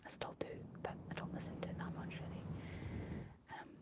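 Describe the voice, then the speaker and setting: A Scottish woman speaking in a soft, breathy whisper, chatting unhurriedly as a livestream host in an ASMR style. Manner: unhurried, reading out and answering viewer comments.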